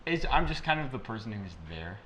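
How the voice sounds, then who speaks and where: A man at a tabletop game, rambling and halting as he describes his character. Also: fairly low pitch, big pitch swings, bright, forceful tone, slight background noise, low-quality recording. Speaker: player.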